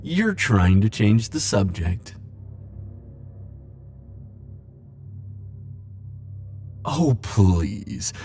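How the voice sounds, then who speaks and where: Man playing low, slow, flirty immortal charmer. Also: sing-song, darkish tone, faint music behind, full character voice. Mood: coy, playful. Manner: coy, theatrical, drawn-out teasing phrasing. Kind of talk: ASMR roleplay audio acting.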